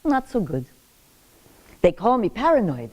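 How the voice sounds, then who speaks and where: A sharp-tongued old grandmother, played by an actress, scolding and joking in a stage monologue. Her voice is warm and mellow. Her pitch swings up and down. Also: big pitch swings, unhurried, low-quality recording. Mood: wry. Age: older. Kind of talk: theatrical monologue.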